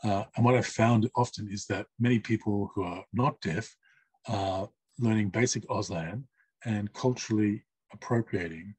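A man interpreting for a deaf guest, speaking in the first person. He gives measured, list-like answers with short pauses. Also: on the low side, a little mellow, slightly rough, dry recording.

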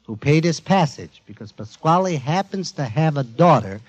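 A man presenting a show to a studio audience in an emphatic, informal, jokey warm-up style, on a 1940s radio recording. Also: fairly high pitch, lively intonation, normal speed, highs cut off, very noisy. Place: before a live studio audience.

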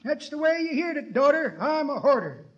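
A man playing a sheepish, folksy old codger with a drawn-out delivery in 1940s radio comedy. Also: very high-pitched, big pitch swings, highs cut off. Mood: sheepish confessing. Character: sheepish old-timer, high-voiced confessing codger. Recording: 1940s radio broadcast before studio audience.

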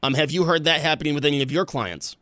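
A man's voice: fairly high pitch, fast, bright, forceful tone. A man co-hosting a radio talk show, asking guests questions and speaking frankly with restarts. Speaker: radio host.

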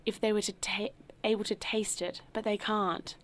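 A woman voicing a dignified, grave butler who speaks formally and quietly. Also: mid-range voice, little pitch movement, voice pushed up, natural voice. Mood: patient. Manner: formal, measured, softly spoken.

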